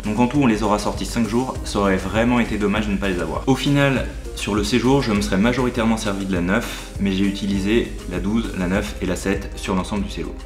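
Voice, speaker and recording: A man giving upbeat, chatty travel advice in a vlog voice-over. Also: on the low side. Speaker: travel vlogger. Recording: roomy sound over background music.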